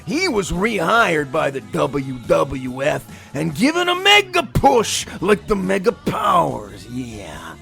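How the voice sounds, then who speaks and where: Narrator: boastful macho pro wrestler, high gravelly voice, slow dramatic promo delivery. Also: male voice, wildly swooping pitch, pushed and bright, faint music behind, full character voice. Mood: bombastic, gleeful. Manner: punctuates sentences with emphatic interjections. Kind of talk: exaggerated wrestling-promo storytelling.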